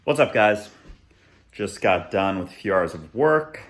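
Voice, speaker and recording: A young man in his twenties talking casually through his day in a vlog, with a flat, monotone delivery. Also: on the low side, measured pace. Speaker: vlogger. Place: apartment kitchen table and backyard.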